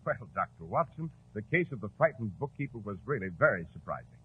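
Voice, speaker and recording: A man's voice: on the low side, big pitch swings, a little fast. A man announcing a radio program in formal, scripted 1940s style with polished projection. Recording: tinny 1940s radio broadcast, music behind. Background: music.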